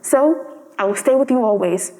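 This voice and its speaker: A woman voicing a gentle, devoted little male swallow in a storybook, speaking tenderly and earnestly. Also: a little high, animated, normal speed, lightly acted. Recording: long hall-like reverb.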